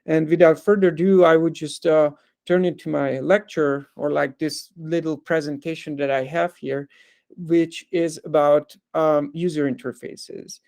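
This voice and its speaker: A man in his thirties from Hungary, giving an online lecture over a video call, halting with many ums and likes. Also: a little high, steady tone, gravelly, a little mellow. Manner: hesitant, with frequent ums, uhs and likes. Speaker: guest speaker.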